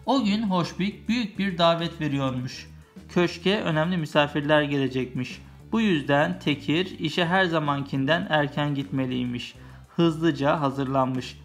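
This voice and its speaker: Low-voiced woman reading a children's story. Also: unhurried. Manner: explains and chats with the child while reading. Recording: music playing behind the voice. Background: music.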